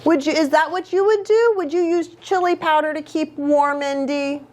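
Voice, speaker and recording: A woman reading a storybook aloud to children in an expressive way, as a pastor who engages her listeners. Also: high voice, moderate pace. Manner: expressive, asks the listeners questions.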